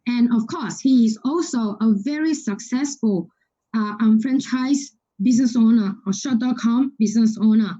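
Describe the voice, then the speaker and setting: A woman's voice: on the high side, normal speed, dark tone. A woman speaking as the host of an online business event, introducing things with enthusiastic emphasis. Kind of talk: scripted-sounding event introduction. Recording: dull, lo-fi online call audio.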